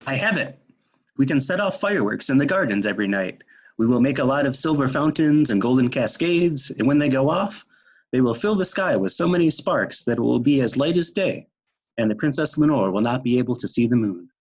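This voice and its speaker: An eager, boastful royal mathematician, acted by a man in storybook readers theater. His mid-pitched voice is noticeably husky. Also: lively intonation, fairly quick, low-quality recording. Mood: eager pride.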